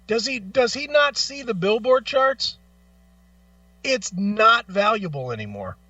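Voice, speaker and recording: A man with a high, bright voice delivers an opinionated talk show rant. He is blunt, profane and emphatic, firing off rhetorical questions. The audio is dry but a little dull and lo-fi. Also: sing-song, unhurried, no echo, distant mic.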